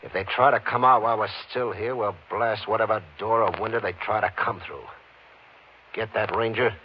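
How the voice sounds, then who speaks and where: An actor playing a menacing, hard-boiled gang boss in a 1950s radio western, giving curt, threatening commands. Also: male voice, fairly low pitch, fairly even intonation, normal speed, slightly rough. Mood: cold, threatening. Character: hard-boiled gunman, bossy, menacing smuggler gang leader. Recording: hissy, muffled old 1950s radio recording.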